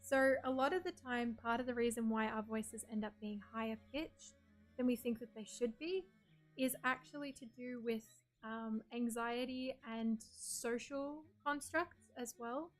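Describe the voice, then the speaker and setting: An Australian woman with a soft, breathy voice, chatting casually with her stream viewers and reading their messages aloud. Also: a little high, steady tone, measured pace, soft background music. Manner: chatty, reads chat messages aloud and answers them. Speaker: game streamer.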